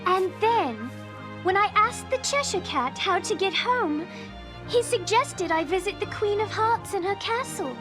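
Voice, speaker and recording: Very high-voiced, prim storybook girl. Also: expressive, a little slow. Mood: recollecting. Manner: prim, courteous, careful. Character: polite, earnest, gentle young storybook girl. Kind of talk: animated game voice acting. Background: prominent music.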